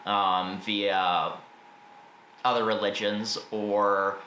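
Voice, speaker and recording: A man's voice: fairly low pitch, level tone, extremely slow, bright, forceful tone. An American man giving a casual, informal and opinionated book review as a video host. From United States. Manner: informal, opinionated, mild swearing. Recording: roomy echo, faint background noise.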